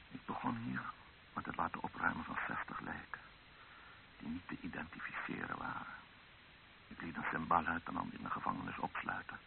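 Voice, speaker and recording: A proud, stern male army captain in a radio drama. He speaks formally and deliberately, with the weight of command. The recording is thin and muffled, with some hiss behind it. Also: low-pitched, vocal fry, full character voice. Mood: brooding, indignant. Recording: dull narrow-band old radio recording with hiss.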